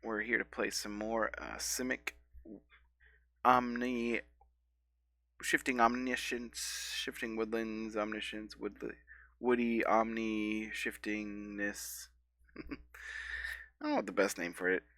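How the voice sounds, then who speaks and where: A man's voice: fairly low pitch, flat delivery, slow pace, pauses often, bright, forceful tone, no room sound. A man in his thirties with a scratchy, stuffy voice from a cold, casually commenting on his own card game as he plays, thinking aloud and correcting himself. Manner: rambling, thinking aloud with fillers and self-corrections. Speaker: gameplay streamer.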